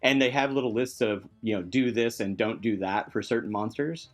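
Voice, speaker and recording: A man chatting excitedly and casually as a podcast guest and game designer, enthusiastic and quick to chuckle. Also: lowish voice.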